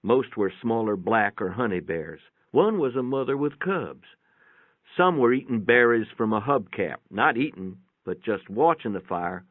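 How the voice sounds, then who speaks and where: Low-voiced male storyteller, thin phone-like sound. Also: big pitch swings, unhurried, no room sound. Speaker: narrator reading a short story aloud. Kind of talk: dramatic first-person literary reading. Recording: muffled, narrow phone-like sound, dry.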